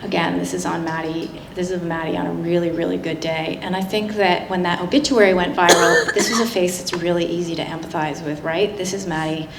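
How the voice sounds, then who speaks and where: A woman giving a public slide presentation in a conversational, halting way with frequent asides. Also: on the low side, fairly even intonation, creaky. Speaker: guest speaker giving a public talk. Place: indoor public talk venue. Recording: roomy, echoing hall with noticeable noise.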